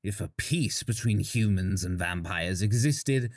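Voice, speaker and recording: A man's voice: lowish voice, a little slow, no room sound, studio quality. A man playing a contemptuous, self-serving vampire clan leader in an audio drama, dismissive and emphatic. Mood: scornful. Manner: dismissive, arrogant, emphatic delivery. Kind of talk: dramatic audio fiction acting.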